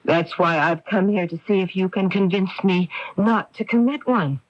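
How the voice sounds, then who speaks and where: Actress as low, sardonic middle-aged woman with murderous intent. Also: no room sound, close to an everyday voice. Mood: cold menace. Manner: sardonic, deliberate, theatrical. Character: bitter middle-aged woman, coolly threatening murder at gunpoint. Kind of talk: radio mystery drama acting. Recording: dull, lo-fi 1980s radio broadcast.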